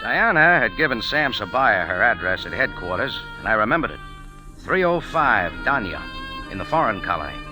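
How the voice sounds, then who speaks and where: A tough, wisecracking American adventurer in an old radio drama, with music behind him. His delivery is animated, moving freely between dry slang and punchy insistence. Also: on the low side, normal speed, rough recording. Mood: wry narrating. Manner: hard-boiled, slangy, wisecracking. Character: wry, tough American adventurer and first-person detective narrator. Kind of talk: 1940s radio acting. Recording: vintage 1940s radio broadcast with music.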